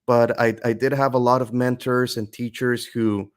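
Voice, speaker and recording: Man, interview guest, English teacher, thoughtful, halting restarts, middle pitch. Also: flat delivery, clean tone. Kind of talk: reflective interview conversation.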